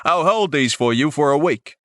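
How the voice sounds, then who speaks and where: A man's voice: a little high, lively intonation, moderate pace, dry recording, studio quality, voice pushed up, lightly acted. A man voicing a brash male blade vendor with an Australian accent, talking like a pushy salesman. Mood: generous.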